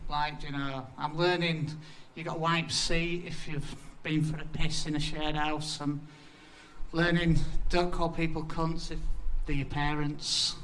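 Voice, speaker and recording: A man's voice: fairly high pitch, sharp tone, slight background noise. A young man with a Yorkshire accent giving a casual, sweary, self-deprecating talk about his design work at a community event. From Yorkshire, England. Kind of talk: casual conference presentation.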